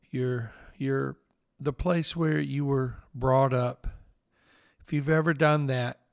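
A man in his fifties with a Southern accent, hosting a devotional podcast and speaking in an earnest, gently preaching way. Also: medium pitch, no room sound, studio quality. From Northeast Mississippi, US.

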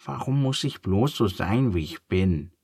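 Man doing a shy clumsy baby elephant, kinda whiny and hesitant, husky. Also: lowish voice, expressive, measured pace, darkish tone, no room sound, studio quality, full character voice. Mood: worried. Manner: hesitant, stammering, plaintive. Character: timid clumsy young male elephant, gentle and sad.

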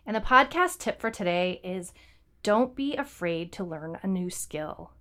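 Woman cohosting a podcast, kinda low voice, lots of ums, casual. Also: animated, measured pace, pushed and bright. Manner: chatty, many ums and restarts. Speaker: podcast co-host. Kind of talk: casual chat.

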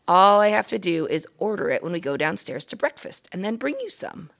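Woman reading as a friendly, slightly bossy storybook boy, creaky. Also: medium pitch, big pitch swings, fairly quick, a bit bright. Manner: frank, scolding but good-natured.

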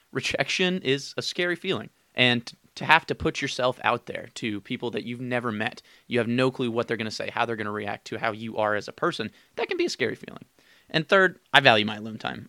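Young guy w/ a slightly raspy sick voice, casual podcast rambling, middle pitch, really dry mic. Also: sharp tone, no room sound. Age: 20s. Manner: casual, candid, laughs as he talks. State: raspy from illness. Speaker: podcast host. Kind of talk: solo podcast monologue. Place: his room.

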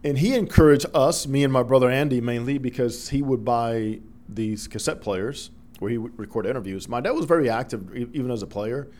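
A middle-aged man from the Dominican Republic whose first language is Spanish, a broadcaster telling lively stories as a guest in an interview. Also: medium pitch, brisk, few pauses. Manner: animated storyteller, acts out quoted voices. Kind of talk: storytelling interview.

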